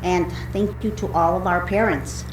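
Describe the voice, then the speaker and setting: A woman making a public comment at a meeting, speaking in a deliberate, earnest way. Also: fairly low pitch, steady tone. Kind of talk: public speech. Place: indoor public meeting room. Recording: echoey meeting room with faint hum.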